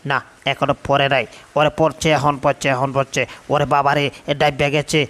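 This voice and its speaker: Man playing a flustered male cartoon character, sharp bright voice, monotone pitch, stammering. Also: brisk, natural voice. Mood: bewildered, panicked. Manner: stammering, muttering, exclamatory. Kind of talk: Bengali comedy cartoon dub.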